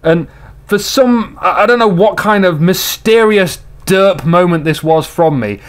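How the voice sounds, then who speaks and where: Jokey man, slightly hoarse. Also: sits high, lively intonation, a little slow. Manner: rambling, jokey, chats to viewers. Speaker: game commentator. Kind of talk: casual replay commentary.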